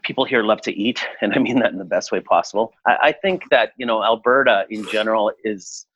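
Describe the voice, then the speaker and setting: A man in his forties from Chile, a restaurateur answering interview questions in a relaxed, reflective way with frequent fillers. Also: lowish voice, brisk, husky, highs cut off. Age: 40s. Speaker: restaurateur guest.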